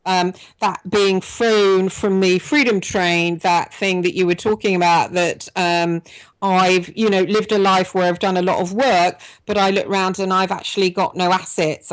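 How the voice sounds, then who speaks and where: A woman's voice: lowish voice, steady tone, unhurried, low-quality recording. A middle-aged woman hosting a radio interview, talking in long rambling stretches full of restarts and repeated words. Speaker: radio show host. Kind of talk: conversational interview.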